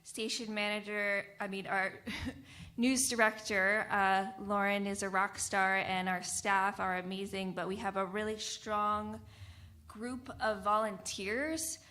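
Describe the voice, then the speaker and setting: A woman speaking earnestly and unscripted as a community radio panelist, in a measured way. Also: medium pitch, bright, forceful tone. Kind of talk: panel discussion, unscripted talk.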